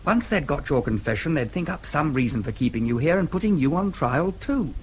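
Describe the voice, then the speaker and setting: An actor with a British accent playing a protective, politely defiant brother in a radio drama, husky, proper and firm. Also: male voice, on the high side, animated, brisk, poor audio. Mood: concerned.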